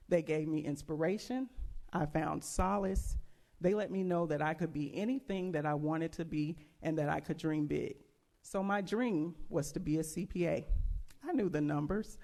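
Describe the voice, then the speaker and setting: A woman delivering a prepared campaign speech as a school board candidate, speaking emphatically in short, deliberate phrases. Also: sits low, creaky, a little mellow.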